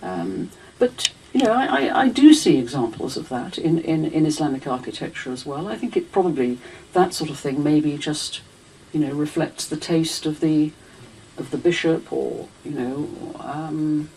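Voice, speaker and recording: A woman's voice: deep voice, lively intonation, moderate pace, mellow, a little hiss or hum, poor audio. A woman lecturer answering audience questions in a hesitant, restarting way, full of "you know" and "I mean". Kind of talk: casual Q&A answers.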